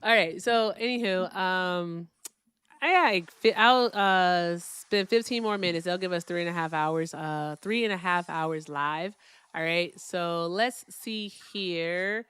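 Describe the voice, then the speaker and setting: A woman's voice: on the low side, slow, a bit bright, clean tone, no room sound. A woman hosting a casual livestream debate, blunt and profane, laughing often.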